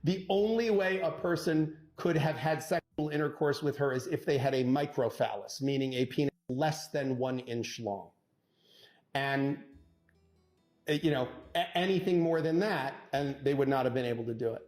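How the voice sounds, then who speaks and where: Animated male storyteller over music. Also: medium pitch. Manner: punchy, acts out quoted dialogue with dramatic pauses. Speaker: divorce lawyer telling an anecdote.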